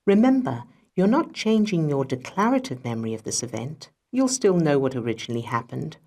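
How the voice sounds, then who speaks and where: A woman narrating a self-help audiobook as its author, in a measured, instructional way with deliberate phrasing. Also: low voice, big pitch swings, darkish tone. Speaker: author reading own audiobook.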